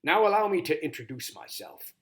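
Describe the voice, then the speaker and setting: Guy doing a kindly old toad mentor for a storybook, croaky n muffled, very formal, pitch moves around a lot. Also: sing-song, brisk, a bit bright. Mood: courteous. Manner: courtly, old-fashioned, ceremonious phrasing.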